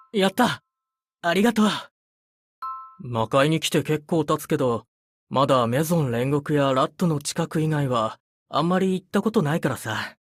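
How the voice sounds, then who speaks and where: Game role: amiable young man voiced by an actor, quick pace, gentle and openly curious. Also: a little high, natural voice. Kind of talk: scripted Japanese game character acting.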